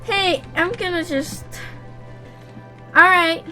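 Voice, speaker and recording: A woman's voice: very high-pitched, steady tone, slow, music in the background. A woman hosting a video game let's play in casual chat. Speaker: host of a Minecraft let's play.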